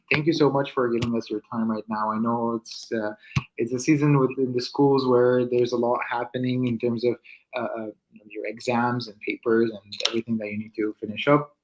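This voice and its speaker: A man hosts an online interview over a video call in an ordinary mid-pitched voice. He talks politely but hesitantly, with many fillers and restarts. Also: steady tone, moderate pace, noisy background. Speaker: host.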